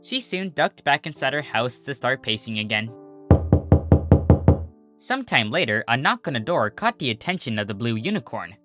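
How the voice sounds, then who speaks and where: A narrator reading a fan fiction story aloud in an expressive storybook style. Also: medium pitch, moderate pace, loud music behind the voice.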